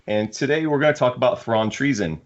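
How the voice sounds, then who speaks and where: A man hosting a podcast, talking casually about books in a halting way with frequent restarts. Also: medium pitch, highs cut off. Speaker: podcast host. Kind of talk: casual discussion of sci-fi books.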